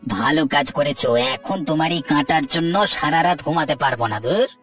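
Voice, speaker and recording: A man's voice: on the high side, fairly quick, a bit bright, far from the mic, faint music behind, lightly acted. A man voicing an exasperated, sarcastic husband in a Bengali family cartoon, with a dry, chiding manner. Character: exasperated, sarcastic husband scolding his greedy wife.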